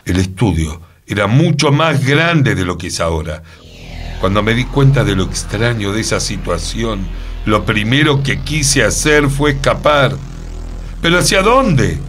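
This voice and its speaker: A man playing a dramatic, halting narrator of an eerie encounter in a radio comedy drama. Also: medium pitch, expressive, fairly bright tone, soft background music. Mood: suspenseful, grave. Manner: theatrical, suspenseful, halting.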